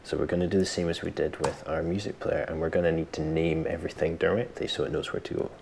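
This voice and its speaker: Male, extremely low pitch, gravelly creak, informal step-by-step tutorial delivery, thinking aloud. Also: steady tone, fast talker, a little mellow, a little hiss or hum. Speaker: tutorial host. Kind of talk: software tutorial, explaining step by step.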